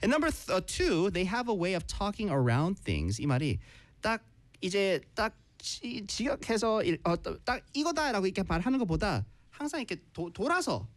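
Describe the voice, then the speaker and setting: A woman hosting a chatty quiz segment, switching between Korean and slow, deliberate English explanations. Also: medium pitch, big pitch swings, no room sound. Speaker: radio show host. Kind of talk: lively bilingual quiz-show chat in Korean and English.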